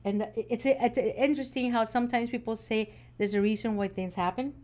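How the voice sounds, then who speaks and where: A woman's voice: a little high, fairly even intonation, a little fast, dark tone. A woman hosting an interview show, speaking in an upbeat, emphatic way and often affirming her guest. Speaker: host.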